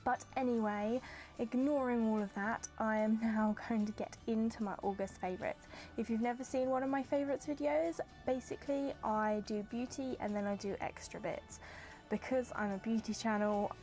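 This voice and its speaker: A woman's voice: a little high, moderate pace, a little mellow, faint music behind. A young woman with a congested, stuffy voice, chatting casually as a beauty vlogger and rambling through her product reviews. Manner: chatty and rambling, lots of "like" and "kind of". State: congested from hay fever. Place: hot indoor room with windows open.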